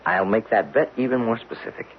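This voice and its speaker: An American actor playing a stubborn, confident young geologist in a radio drama, speaking insistently. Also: male voice, fairly low pitch, brisk, lightly acted. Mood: cocksure. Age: young adult. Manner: insistent, cocksure. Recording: dull lo-fi old radio recording, faint noise.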